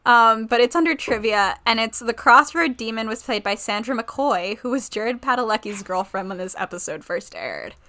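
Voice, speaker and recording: A woman's voice: on the high side, animated, normal speed, hardly any pauses, pushed and bright. A woman podcast co-host chatting casually about a TV show in an informal, rambling way, often correcting herself.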